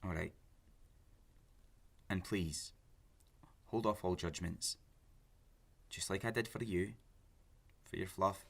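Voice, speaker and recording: Audio drama role: needy, obsessive man in love, creaky husky voice, self-justifying and intense. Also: sits low, slow, long pauses, full character voice. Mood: subdued, earnest. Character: obsessive, pleading, lovesick lonely man on a date. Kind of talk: naturalistic audio drama acting.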